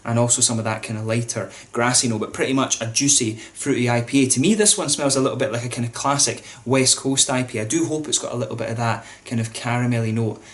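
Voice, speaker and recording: A man's voice: mid-range voice, fast, hardly any pauses. A man, chatting casually to camera as he reviews a beer, with lots of fillers and restarts. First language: English.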